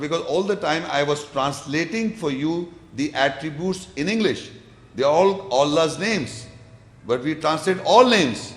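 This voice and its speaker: A man lecturing and answering audience questions, explaining patiently and repeating words as he builds his sentences. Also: on the high side. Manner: recites scripture verses in Arabic. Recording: echoey lecture hall.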